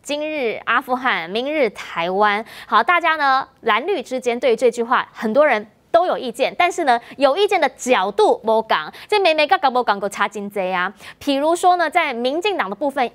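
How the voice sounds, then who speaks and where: A woman's voice: high-pitched, fairly bright tone. A woman news anchor with a Taiwanese accent, presenting and discussing the news. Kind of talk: live news presenting and interviewing.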